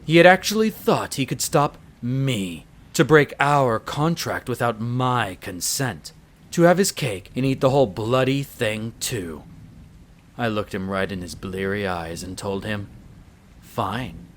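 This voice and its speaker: A man's voice: mid-range voice, animated, unhurried, close to an everyday voice. A man playing a haughty, urbane vampire master in an audio roleplay, condescending and coolly menacing, speaking with theatrical relish. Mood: scornful relish. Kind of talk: dramatic audio roleplay narration.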